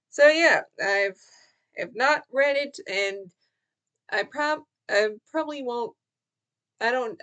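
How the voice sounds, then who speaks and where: A woman's voice: on the high side, fairly bright tone. A woman giving a casual, chatty book review as a vlogger, in an informal, hesitant way that often trails off and rephrases.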